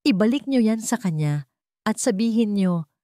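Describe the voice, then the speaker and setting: A woman's voice: medium pitch, expressive, normal speed, warm tone, no room sound, clean studio sound, pitched above the performer's own voice, natural voice. A woman voicing a proud, cold young single mother in a dramatic love story, stern and defiant. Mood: angry, defiant.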